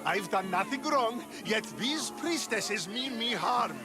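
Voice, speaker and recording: A man's voice: sits high, lively intonation, slow. An actor playing an eager, pleading male devotee of a self-styled god. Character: excitable devoted male follower of a cult. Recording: game cutscene with loud music.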